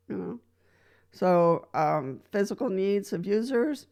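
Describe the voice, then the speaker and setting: A woman's voice: fairly low pitch, darkish tone, smooth. A woman from Costa Rica talking casually to camera, giving practical advice from her own experience. She laughs lightly between points and often restarts with "so". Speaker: wheelchair user giving advice. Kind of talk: casual explanatory vlog talk.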